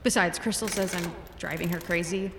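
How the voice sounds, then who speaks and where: An actress playing a snappy, quick-witted physicist in her thirties in an audio drama. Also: lowish voice, fairly even intonation, noisy background, natural voice. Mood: sarcastic. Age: 30s. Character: snappy, sarcastic female physicist, expectant mother. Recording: echoey reverberant room, music behind.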